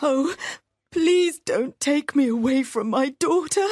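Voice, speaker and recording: A man voicing a frightened twelve-year-old peasant girl in a storybook reading, high and pleading. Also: expressive, takes it slowly, a little mellow, no room sound, studio quality, full character voice.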